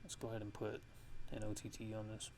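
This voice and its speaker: A man narrating a casual software tutorial as a music production host, speaking haltingly as he thinks aloud. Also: fairly low pitch, completely flat delivery.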